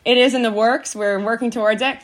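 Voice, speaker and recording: Relaxed woman guest explaining things. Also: fairly high pitch, a little fast. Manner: relaxed, explanatory, uses "like" often. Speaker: podcast guest. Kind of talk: casual interview chat.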